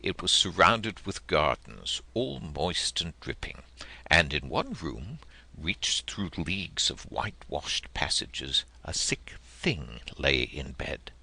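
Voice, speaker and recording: A man with a very low voice narrates literary fiction dramatically. He takes his time, shaping each phrase expressively with wide swings of intonation. The delivery suits a classic audiobook. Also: vocal fry, slightly rough. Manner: measured, expressive storytelling.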